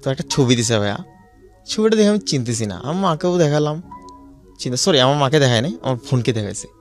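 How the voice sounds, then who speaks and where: A Bangladeshi man telling a personal story conversationally, haltingly and with repeated phrases, often checking that he is followed. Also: mid-range voice, animated. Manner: repetitive, halting telling with frequent check-in questions. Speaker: guest telling his life story. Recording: music behind the voice. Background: background music.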